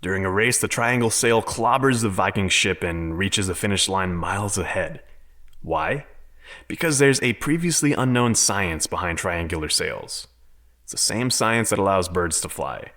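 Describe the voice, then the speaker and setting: Audio drama: male actor as visionary scientist, low register, earnest, argumentative, self-assured. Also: lively intonation, normal speed, bright, forceful tone. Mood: explaining. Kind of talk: dramatic audio fiction acting.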